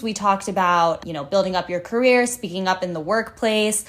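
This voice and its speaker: Co-host: woman, wry and playful, mid pitch, handles the guest intro. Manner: playful, wry, quick asides. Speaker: podcast co-host. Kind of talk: casual podcast hosting.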